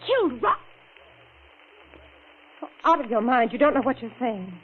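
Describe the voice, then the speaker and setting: A woman's voice: on the high side, sing-song, a little slow, slightly rough, full character voice. An actress playing a devoted, resolute woman shielding her lover, in urgent, commanding 1940s radio melodrama style. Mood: shocked. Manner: urgent, commanding, dramatic questioning. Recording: scratchy, narrow 1940s radio recording.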